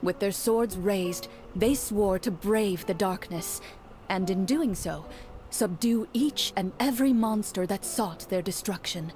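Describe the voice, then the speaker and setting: An actress playing a calm woman narrating a tabletop story inside a game, measured and even. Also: mid-range voice, a little hiss or hum, close to an everyday voice. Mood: storytelling. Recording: game audio with faint music.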